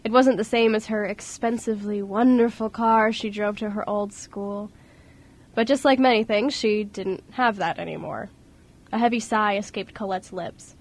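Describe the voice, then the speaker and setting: A woman's voice: mid-range voice, fairly even intonation, moderate pace. A woman reading scripted story narration as narrator of an amateur radio play. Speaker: radio show co-host and narrator.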